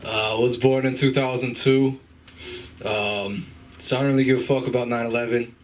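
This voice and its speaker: A young man in his twenties works through an open-mic stand-up set, halting, full of fillers and casual swearing, and chuckling at his own jokes. He sounds some way from the microphone, and a faint room hum sits under his voice. His pitch sits in a middling range for a man. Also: steady tone, far from the mic. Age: 20s. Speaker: stand-up comedian. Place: comedy club stage.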